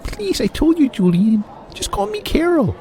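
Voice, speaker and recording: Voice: sits high, sing-song, moderate pace, warm tone. An actor playing a modest, flustered wrestler's wife who is bashful, folksy and apologetic in an audio drama. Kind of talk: audio drama character acting.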